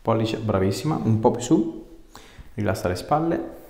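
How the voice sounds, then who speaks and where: A man's voice: lowish voice, animated, moderate pace, a little mellow. A man, giving informal, encouraging step-by-step instructions to a patient as a chiropractor. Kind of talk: hands-on clinical instructions and casual chat with a patient. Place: small clinic treatment room, indoors. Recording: echoey small room with clear background noise.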